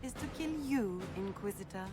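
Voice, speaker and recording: A woman's voice: medium pitch, lively intonation, loud music behind the voice, natural voice. An actress playing a scheming, haughty noblewoman villain in a video game, theatrical and mocking. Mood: mocking, menacing. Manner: mocking, aristocratic, drawn-out. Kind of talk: video game cutscene acting.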